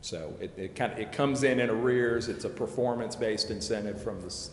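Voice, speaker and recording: Low-voiced man explaining in an echoey chamber. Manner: measured, explanatory, many fillers and restarts. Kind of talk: explaining at a public meeting. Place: council chambers. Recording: echoey chamber with long reverb, faint noise.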